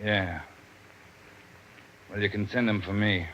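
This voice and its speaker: An actor playing a gruff, bullying, easily flustered police inspector with an American accent, blunt and badgering in a 1940s radio crime drama. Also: male voice, lowish voice, steady tone, slow pace, very noisy. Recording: muffled vintage radio recording.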